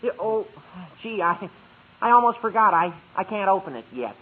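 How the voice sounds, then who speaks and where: An actor playing a gawky, eager teenage schoolboy with a squeaky, gushing delivery in a vintage radio sitcom. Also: male voice, sing-song, moderate pace, breathy edge, exaggerated voice. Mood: eager, bashful. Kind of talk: 1950s radio sitcom acting. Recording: tinny, hissy old radio recording.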